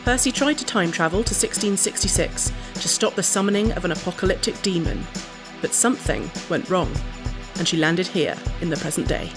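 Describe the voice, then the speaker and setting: A woman introducing herself and her character as a player, in a clear, measured reading. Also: on the low side, music in the background. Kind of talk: scripted introduction.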